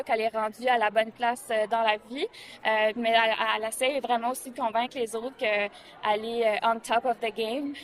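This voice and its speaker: Female actor, fairly high voice, Canadian French accent, halting informal interview answers sprinkled with English. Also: a bit bright. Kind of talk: casual interview.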